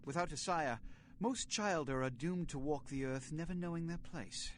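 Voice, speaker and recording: An actor playing a solemn, pompous vampire prince in a video game, speaking in formal, measured, grandiloquent oratory. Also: male voice, on the high side, a little hiss or hum, lightly acted. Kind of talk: theatrical video game speech.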